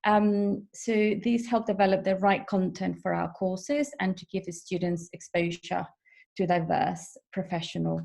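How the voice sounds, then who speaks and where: University webinar presenter, woman from Peru, dark gravelly tone, measured. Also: on the low side, fairly even intonation. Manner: measured, frequent um fillers. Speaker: international officer. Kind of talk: online information session presentation. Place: home or office in the evening. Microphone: video call.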